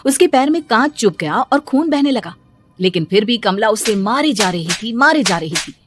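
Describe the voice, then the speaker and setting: A woman's voice: fairly high pitch, expressive, normal speed, a little hiss or hum. Woman narrating a cartoon story.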